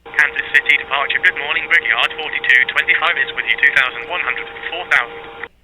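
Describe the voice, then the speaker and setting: A man's voice: on the high side, fairly even intonation, a little fast. A man playing a polite, businesslike airline pilot in a simulator game, heard through a radio filter. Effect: aircraft radio filter. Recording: noisy aircraft radio transmission.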